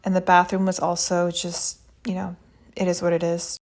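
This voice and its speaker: A woman's voice: fairly low pitch, completely flat delivery, moderate pace. A woman narrating a travel vlog in a casual, chatty and candid, understated way. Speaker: travel vlogger. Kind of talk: casual vlog voice-over reviewing an experience.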